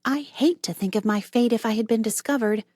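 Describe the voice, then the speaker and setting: A woman's voice: on the high side, a little fast, darkish tone, dry recording, clean studio sound, pitched above the performer's own voice, natural voice. Young girl detective, plucky and anxious, slightly raised voice; woman reader. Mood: relieved. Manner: breathless, frantic.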